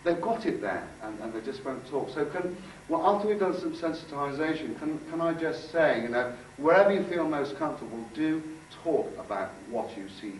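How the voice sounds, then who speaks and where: A man giving an informal workshop talk, halting and conversational, repeating phrases for emphasis. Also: fairly high pitch, lively intonation. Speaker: workshop speaker and aura healer. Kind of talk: informal talk with demonstration and guided visualisation. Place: indoor room before a group. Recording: echoey room, distant mic, faint noise.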